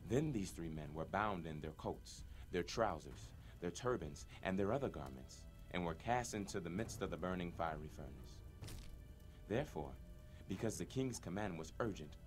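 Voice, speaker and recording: A man narrating a dramatized scripture reading in a measured, solemn manner. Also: deep voice.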